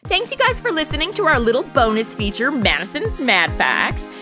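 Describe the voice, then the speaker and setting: A woman's voice: high-pitched, huge pitch swings, moderate pace, music in the background. A woman playing a bubbly young podcast host with casual, valley-girl slang in a scripted comedy interview. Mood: cheerful. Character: bubbly slangy modern young woman hosting history segment.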